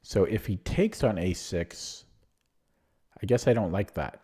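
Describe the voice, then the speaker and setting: A man's voice: lowish voice, lively intonation, measured pace, mellow, slightly rough. A man streaming online chess, thinking aloud through his moves with a hesitant, self-deprecating manner and frequent fillers. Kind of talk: live game commentary.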